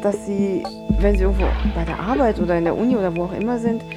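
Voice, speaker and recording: A woman's voice: mid-range voice, fast. Thoughtful woman talking over music. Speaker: interviewee talking about manga. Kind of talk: casual explanatory talk.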